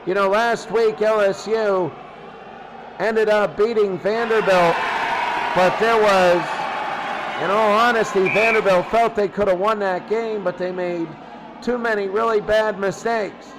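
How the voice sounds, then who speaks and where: A man's voice: sits high, steady tone, measured pace, very noisy. A man with an American accent calling football play by play, exclaiming on big plays. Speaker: play-by-play commentator. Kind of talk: live American football commentary.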